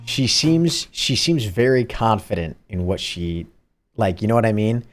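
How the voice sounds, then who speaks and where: A man's voice: on the low side, big pitch swings, measured pace. A man live-streaming, reacting to a video in a casual, chatty way with slangy asides to his chat. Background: show audio and music playing.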